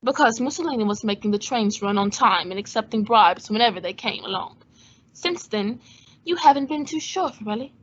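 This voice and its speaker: A woman playing a cool, shrewd gentleman sleuth with a calm, wry manner in an old-time radio drama. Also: on the high side, brisk. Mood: accusing. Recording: dull, muffled lo-fi audio.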